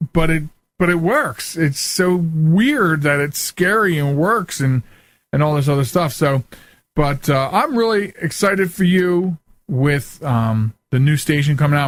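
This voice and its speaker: A man's voice: fairly high pitch, dry recording. A man hosting a radio show in a casual, rambling, conversational way. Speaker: radio show and podcast host.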